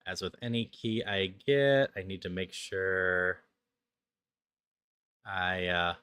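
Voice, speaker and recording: A game streamer chatting casually on a livestream in an easygoing, halting way. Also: deep voice, a bit bright, clean tone, no echo, studio quality.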